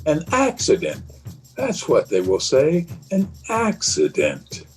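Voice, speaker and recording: A man's voice: on the high side, huge pitch swings, slow pace, a little mellow, soft background music, heavily acted. A man playing a nervous, guilt-ridden husband, tremulous and brooding, in 1930s radio melodrama style. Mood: menacing, unhinged. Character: nervous, guilt-ridden husband who killed his wife.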